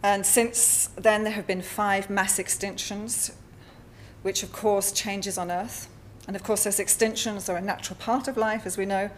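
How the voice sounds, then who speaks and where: A woman with a Spanish accent giving a conference talk over slides, halting often with fillers and self-corrections. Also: medium pitch, a little hiss or hum. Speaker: artist presenting at a symposium. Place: lecture hall.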